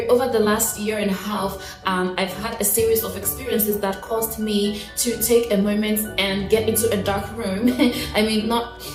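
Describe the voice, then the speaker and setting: Earnest woman in an echoey room. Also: mid-range voice. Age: late 20s. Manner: earnest and heartfelt, with soft laughs and many restarts. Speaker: vlogger. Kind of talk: personal reflective talk to camera.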